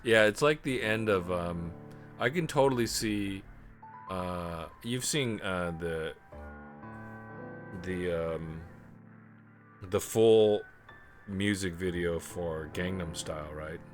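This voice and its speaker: A man streaming a video game live, chatting in a rambling way and clearing his throat often. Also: low voice, slow pace, pauses often. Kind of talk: live let's play commentary. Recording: game music clearly audible underneath. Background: game music.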